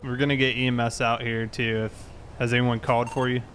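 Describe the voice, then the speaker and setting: A man's voice: medium pitch, flat delivery, quick pace, a bit bright, a little hiss or hum. A man in casual online roleplay as a police officer, matter-of-fact in how he talks. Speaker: player voicing a police officer. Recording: game audio with busy chatter.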